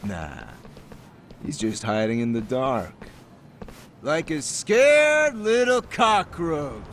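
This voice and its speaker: A cocky student gang boss in a game, taunting and sneering. His fairly high voice swings widely in pitch, with faint noise behind it. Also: very animated, slow pace, a bit bright, heavily acted. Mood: smug, swaggering. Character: cocky swaggering male student gang leader. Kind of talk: video game voice acting.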